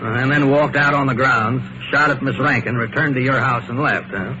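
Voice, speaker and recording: A muffled vintage radio recording with clear noise carries an actor as a police investigator. He is a man of medium pitch, methodical and skeptical, probing in a halting, businesslike way. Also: lightly acted. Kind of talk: old-time radio mystery acting.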